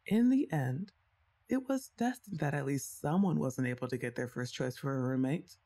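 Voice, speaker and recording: A woman's voice: rumbling low, moderate pace, mellow. A young woman voicing her best friend's older sister in an ASMR roleplay, chatting and teasing the listener and laughing often. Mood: playful. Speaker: voice actress.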